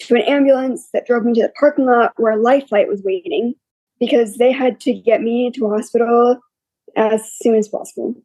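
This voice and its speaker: Scratchy, quiet young woman, mellow. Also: fairly high pitch, fairly even intonation, normal speed, dry recording. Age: young adult. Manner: open and matter-of-fact, listing hardships plainly. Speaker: interview guest. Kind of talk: candid personal storytelling.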